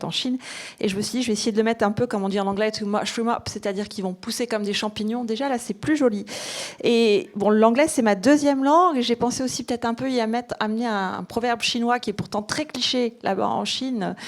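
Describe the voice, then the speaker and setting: A woman working as an interpreter, relaying a speaker's words aloud at a public talk. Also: mid-range voice. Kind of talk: consecutive interpreting before an audience.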